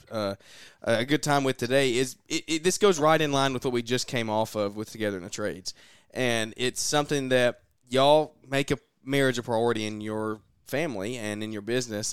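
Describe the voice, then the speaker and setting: A young man hosts a relaxed podcast, thinking out loud through plenty of ums and likes. His voice is smooth and resonant. It sits close and dry on the mic, with no room sound. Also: medium pitch, dry recording. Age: 20s. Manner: rambling, filler-heavy, folksy. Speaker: podcast co-host. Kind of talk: casual podcast chat.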